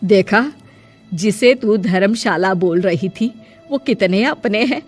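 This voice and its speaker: A woman's voice: medium pitch, soft background music. A woman voicing a stern, sarcastic older mother-in-law in a cartoon story, scolding and bossy about her household. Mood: smug. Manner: scolding, bossy, drawn-out address.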